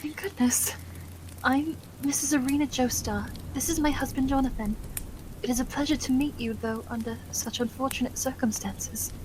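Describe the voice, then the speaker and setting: Woman voicing caring genteel wife, fairly high pitch, tender, old-fashioned manners, ASMR roleplay, light rain behind. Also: steady tone, normal speed, natural voice. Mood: polite concern. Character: Irina, genteel caring young wife tending the wounded. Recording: faint noise, rain behind the voice.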